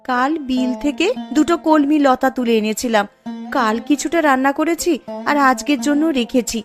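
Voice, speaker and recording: A woman plays a poor village wife and mother in a cartoon tale. Her voice is high-pitched, and she speaks in a fretful, complaining manner. Light music plays behind her. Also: normal speed, close to an everyday voice. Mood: fretful, worried. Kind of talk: cartoon story dialogue.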